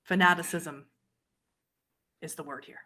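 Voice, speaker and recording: A woman plays an old female vampire informant in a tabletop roleplay. Her voice sits a little low and sounds weary and guarded. She speaks in short, measured statements with dramatic pauses. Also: fairly even intonation, pushed and bright. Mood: grim, wry. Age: older.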